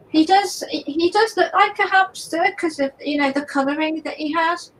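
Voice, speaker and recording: Hoarse woman guest artist, high-pitched, casual and halting as she paints. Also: fairly bright tone, a little hiss or hum, low-quality recording. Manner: chatty, halting, repeats words. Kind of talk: casual chat while painting live.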